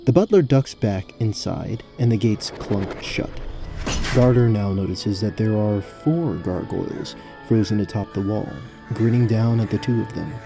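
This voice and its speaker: A man narrating a scripted fantasy tabletop story as game master in a measured, descriptive way. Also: on the low side, creaky, over music.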